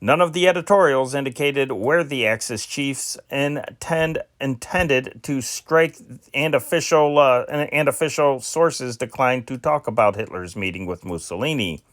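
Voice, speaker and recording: A man's voice: medium pitch, a bit bright, no echo, studio quality. Man reading old news dispatches. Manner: measured, with occasional stumbles and restarts. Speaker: news reader.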